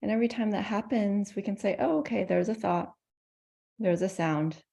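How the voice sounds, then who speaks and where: A woman teaching meditation, guiding listeners in a gentle, soothing manner with pauses between phrases. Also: medium pitch, creaky, slightly rough. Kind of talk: guided meditation.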